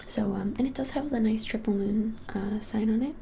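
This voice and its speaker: A woman in her twenties whispering softly and gently in an unhurried ASMR shop roleplay. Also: a little high, a little hiss or hum. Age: 20s. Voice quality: soft, breathy whisper. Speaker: ASMR creator playing a witch shop keeper. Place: small indoor room, plain wall.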